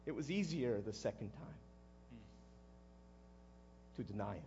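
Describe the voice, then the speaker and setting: An actor playing a guilt-ridden, impulsive fisherman disciple in a halting, conversational dramatic monologue, with a husky male voice. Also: lowish voice, lively intonation, dull audio, slight background noise. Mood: bitter, defensive. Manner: halting, conversational, erupting into shouts. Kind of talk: dramatic first-person monologue.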